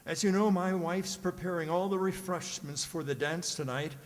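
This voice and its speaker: A man's voice: sits high, normal speed, lightly acted. A man playing a stuffy, pompous middle-aged school principal in an old-style radio comedy, formal and given to hemming and hawing. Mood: flustered, formal. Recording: echoey hall, long reverb.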